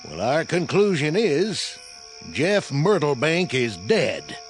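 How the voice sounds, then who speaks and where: A nervous committee spokesman from a small town. His voice is high and swings about, with a rural Southern accent and a self-important, halting manner, in a radio play. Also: big pitch swings, soft background music. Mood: uneasy, accusing. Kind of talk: radio drama acting.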